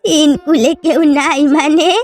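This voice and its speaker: A woman's voice: high voice, steady tone, unhurried, faint music behind. A woman voicing a poor, sorrowful old fisherwoman in a fairy tale cartoon, weary and lamenting. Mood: resigned. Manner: plaintive, lamenting.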